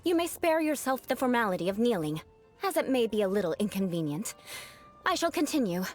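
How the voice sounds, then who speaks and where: A woman's voice: a little high, very animated, fairly quick, soft background music. A woman playing a proud young noblewoman knight with grandiose, formal aristocratic speech in an anime video game. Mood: haughty.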